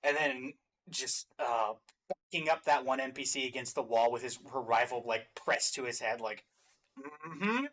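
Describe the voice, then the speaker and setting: A man's voice: mid-range voice, steady tone, normal speed, fairly bright tone. A man chatting casually as he hosts and comments in a reaction show.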